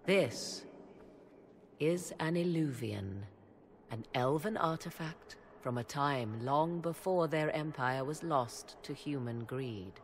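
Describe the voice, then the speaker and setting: An actress voicing a haughty, knowing fantasy game sorceress who speaks in deliberate, formal, archaic phrases. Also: female voice, deep voice, animated, slight background noise. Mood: haughty, cryptic.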